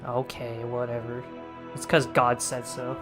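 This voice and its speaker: A man's voice: mid-range voice, completely flat delivery, measured pace, over music. A man giving casual, slangy, joking gameplay commentary as a streamer. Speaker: game streamer.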